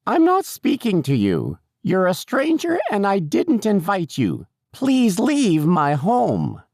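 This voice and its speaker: Indignant elderly nursing-home woman, played by a male narrator: high, drawn-out, stubborn and confused. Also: huge pitch swings, no room sound, studio quality, exaggerated voice. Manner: loud, stubborn, drawn-out protests.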